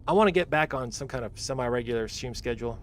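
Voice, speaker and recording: A man chats through a livestream in an easygoing, rambling manner full of slang. His voice sounds a little worn from talking, sitting at an ordinary middle pitch. A faint hum of room ambience sits underneath. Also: quick pace. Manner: laid-back, rambling, slangy. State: voice getting worn. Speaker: streamer reacting to a game presentation. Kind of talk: casual livestream chat.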